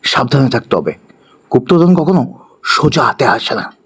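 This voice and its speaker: A man's voice: medium pitch, very animated, normal speed, slightly rough. A man playing an honest, sensible young village hero in a fairy-tale cartoon, speaking earnestly. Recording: music behind.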